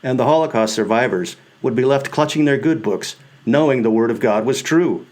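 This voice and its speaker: A man's voice: mid-range voice, moderate pace, darkish tone. A man reading his own book as scripted audiobook narration, in the first person.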